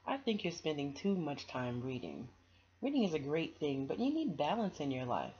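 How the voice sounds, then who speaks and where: A woman voicing a concerned mother in a children's storybook, gentle and instructive. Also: sits low, big pitch swings, normal speed. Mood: advising. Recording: dull, noisy home recording.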